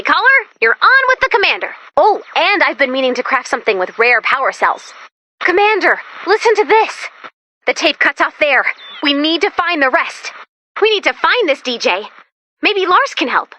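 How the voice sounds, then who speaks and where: A high, bright-voiced female robot sidekick from a video game. She is perky and neurotic, swinging all over her range with dramatic, self-deprecating flair. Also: big pitch swings, normal speed, no room sound, full character voice. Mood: upbeat, urgent. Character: excitable, anxious, sarcastic female robot helper. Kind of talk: animated video game voice acting.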